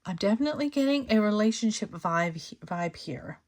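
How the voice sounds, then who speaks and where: A woman hosting her channel, giving a casual tarot reading to camera, slightly hoarse from losing her voice, thinking aloud with many fillers and restarts. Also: mid-range voice, expressive, normal speed, a little mellow. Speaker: tarot reader and channel host.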